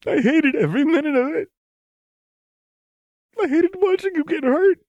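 A man voicing an anxious, tender young woman soldier with halting, emotional speech in an audiobook. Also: very high-pitched, a little mellow, no echo, lo-fi sound, voice pushed up. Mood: anguished, upset. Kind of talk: audiobook character acting.

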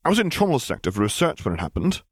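A man's voice: mid-range voice, wildly swooping pitch, very fast, breathy edge, no room sound, studio quality, pitched above the performer's own voice. A man voicing a gangly, jocular younger academic in an audiobook, chattering in an effusive, slightly flustered way. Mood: chatty.

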